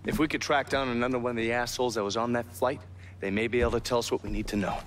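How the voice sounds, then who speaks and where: Male actor as cynical covert operative for a game cutscene: mid-pitched, terse, swears freely, noise behind him. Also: steady tone, racing, natural voice. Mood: grave, matter-of-fact. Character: gruff, foul-mouthed male intelligence operative briefing his partner. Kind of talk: video game cutscene acting.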